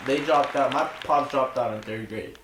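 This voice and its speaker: A man's voice: mid-range voice, moderate pace, a crowd in the background, background noise. A young man in his twenties from Mexico, a native Spanish speaker, talking loosely and swearing freely as a podcast guest. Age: 20s. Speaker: guest musician. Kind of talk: casual podcast chat. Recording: roomy echo.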